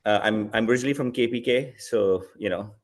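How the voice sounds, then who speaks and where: A man from Pakistan in his thirties, a company founder speaking as guest in a fireside chat, relaxed and reflective with many fillers. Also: on the low side, fast talker.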